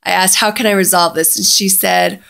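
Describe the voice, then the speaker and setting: A woman speaks with noticeable vocal fry at a middling pitch. She is casual and chatty, recounting her own experience as a story and slipping into quoted dialogue as she goes. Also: steady tone, moderate pace, fairly bright tone. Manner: chatty, recounts dialogue by quoting both sides. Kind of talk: casual first-person storytelling.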